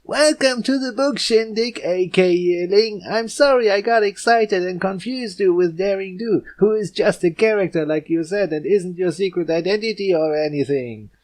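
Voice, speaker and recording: A man voicing a brash, excitable young female cartoon pony with a sandy, exaggerated, over-enthusiastic delivery. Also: sits high, normal speed, few pauses, voice pushed up, natural voice. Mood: exaggerated cheer. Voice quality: sandy, shouty.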